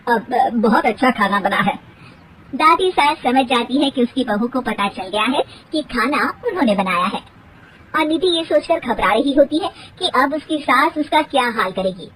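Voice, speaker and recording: A woman's voice: high-pitched, animated, normal speed, a bit bright, distant mic, a little hiss or hum, low-quality recording. A woman storyteller reading a scripted cartoon family story as narrator.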